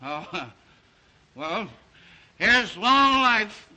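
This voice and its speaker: A man's voice: sits high, big pitch swings, extremely slow, pushed and bright, slight background noise, heavily acted. A man playing a tipsy, carefree rich playboy, boisterous and chummy, in old film comic-relief style. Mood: tipsy, jovial.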